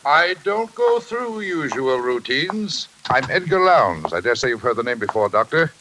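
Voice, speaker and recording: An actor playing a self-important middle-aged railroad tycoon with a troubled conscience, brusque and emphatic in 1940s radio style. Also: male voice, mid-range voice, sing-song, normal speed, natural voice. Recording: hissy old radio recording.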